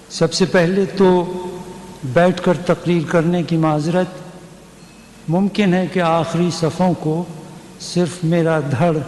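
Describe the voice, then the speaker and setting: Slow older Pakistani man in echoing hall. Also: a little high, a little mellow. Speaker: guest speaker at a university ceremony. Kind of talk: humorous formal literary speech, read aloud. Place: large hall at a ceremony. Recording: noisy, echoing hall public-address recording.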